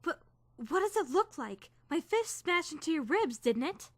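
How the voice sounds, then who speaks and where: A woman's voice: very high-pitched, sing-song, normal speed, dry recording. A woman voicing an earnest, indignant teenage girl student who is rigidly rule-bound, speaking formally and emphatically in a dramatic visual novel fan dub. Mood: defiant. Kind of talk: dramatic first-person visual novel narration and dialogue.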